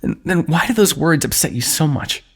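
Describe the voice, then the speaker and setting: A man's voice: a little high, lively intonation, moderate pace, a bit bright, breathy edge. A man voicing a protective, lovesick warrior king in a fantasy ASMR roleplay, pleading earnestly with the listener. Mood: pleading, tender.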